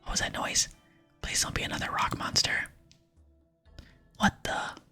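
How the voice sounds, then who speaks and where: An earnest, naive fantasy game adventurer, read by a woman, speaks in a puzzled way. The voice is a bright, very breathy whisper with music underneath. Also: measured pace. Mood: self-talking.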